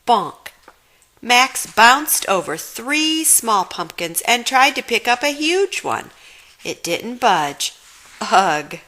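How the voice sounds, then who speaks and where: Slow, very animated woman storyteller. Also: a little high. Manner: dramatic sound-word emphasis. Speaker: storybook reader. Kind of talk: expressive children's read-aloud.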